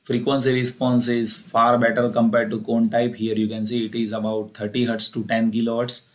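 A man's voice: medium pitch, little pitch movement, normal speed. A man with an Indian accent giving a plain, methodical technical lecture, repeating key phrases as he explains. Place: voice-over for a screen recording.

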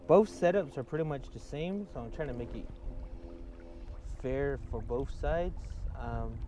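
Laid-back male fishing vlogger, warm mellow tone, talking through his gear outdoors with light background noise. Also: mid-range voice. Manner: relaxed, explains step by step with fillers. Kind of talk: casual how-to narration while fishing. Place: outdoors standing in a river.

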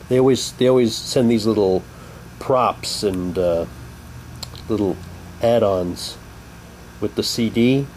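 A man giving a halting, unhurried, casual commentary as he shows and reads out items for an unboxing video. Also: lowish voice. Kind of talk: casual unboxing commentary, reading packaging aloud. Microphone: handheld camera's built-in mic. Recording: noisy home camcorder, steady hum.

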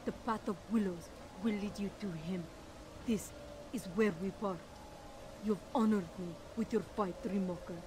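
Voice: mid-range voice, steady tone, moderate pace, noisy background, natural voice. A performer voicing a proud, bitter alien warrior who speaks in stern, formal, broken phrases.

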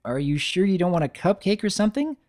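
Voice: mid-range voice, big pitch swings, fast talker, a little mellow, pitched above the performer's own voice, natural voice. A narrator voicing a friendly, mild-mannered married stallion, a cartoon baker, chatty and earnest. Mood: amiable.

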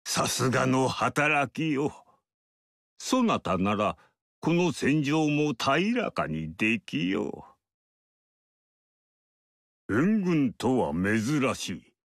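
An aloof male mystic sage, calm and superior,. He talks slowly and in a measured, lofty way, and his pitch swings widely over a lowish voice. The recording is dry and close. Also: no echo, full character voice. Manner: measured, lofty archaic speech. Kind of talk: game character voice lines.

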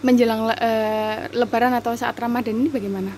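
A woman reporter interviews stall vendors in a market basement. She prompts often with short hums. Her voice is slightly high over faint background noise. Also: fairly even intonation, fast talker. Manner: prompting, frequent hums of assent. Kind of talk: news field interview.

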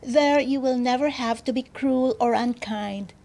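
A woman voicing a kindly, gentle butterfly friend in a children's storybook. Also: sits high, unhurried, vocal fry. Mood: kindly, inviting. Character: kindly, gentle butterflies befriending a little girl.

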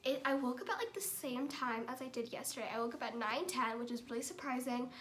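A woman's voice: high-pitched, quick pace, a bit bright. A girl of about thirteen with an American accent, chatting casually to her vlog, often saying "like". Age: 13, young teenager. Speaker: vlogger. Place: indoors at home, bedroom and kitchen. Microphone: camera or phone at arm's length. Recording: noisy handheld home recording.

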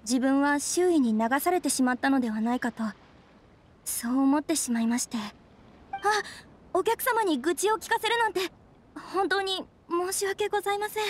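Demure high-voiced young noblewoman. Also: expressive, moderate pace, a little hiss or hum. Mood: troubled, apologetic. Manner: demure, hesitant, very polite. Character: demure, troubled young noblewoman, refined and polite. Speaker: bride-to-be of a noble clan. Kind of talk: anime game voice acting, formal polite dialogue.

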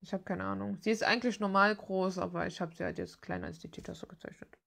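Young woman w just rambling while drawing, bit of vocal fry, informal and slangy. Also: mid-range voice, fairly even intonation, fast talker, fairly bright tone. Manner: informal, hesitant, thinking aloud, slangy. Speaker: art vlogger. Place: cluttered room at home, at a desk.

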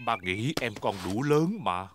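A man's voice: lowish voice, animated, unhurried, slight background noise. A man voicing an easygoing, self-assured, jolly father pig in a preschool cartoon. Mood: reassuring. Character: jolly, confident daddy pig, middle-sized adult male. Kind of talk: Vietnamese-dubbed preschool cartoon acting. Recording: loud music behind the voice.

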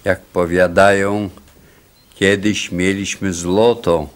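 Slow, low-voiced solemn village elder. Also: male voice, little pitch movement. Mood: solemn, commanding. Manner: measured, grave, declamatory. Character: solemn wise male elder leading a village chorus. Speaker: actor in a radio play. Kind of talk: radio drama acting, solemn storytelling.

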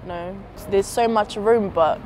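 A man's voice: high voice, measured pace, noisy background. Laid-back young New man, street slang. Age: young adult. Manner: relaxed street slang, soft-spoken. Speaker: interviewee. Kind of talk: casual interview. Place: outdoors on a city street. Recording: music bed underneath.

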